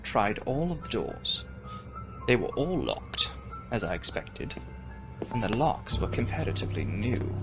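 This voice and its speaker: A man reads a gothic novel aloud in a low register with a gravelly creak. His delivery is theatrical and swings widely in pitch, slipping at times into a hush. Music plays clearly beneath the narration. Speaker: audiobook reader. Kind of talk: dramatic reading of a gothic novel. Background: music under the reading.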